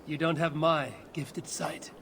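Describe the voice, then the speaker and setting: A woman playing a gruff, guarded Viking clan leader in a video game, low-voiced, terse and dry. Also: steady tone, takes it slowly, a bit bright, a little hiss or hum, full character voice. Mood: terse, focused. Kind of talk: dramatic video game dialogue.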